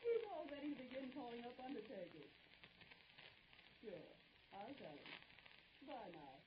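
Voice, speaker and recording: An actress playing an amused, gossipy wife chatting breezily and wryly on the telephone in an old-time radio drama. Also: female voice, on the high side, very animated, measured pace. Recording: hissy, muffled old radio recording.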